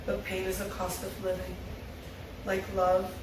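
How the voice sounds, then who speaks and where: A woman's voice: mid-range voice, completely flat delivery, measured pace, noisy background. A woman reading lines from a drama script aloud at a table read. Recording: heavy room echo, distant, music behind.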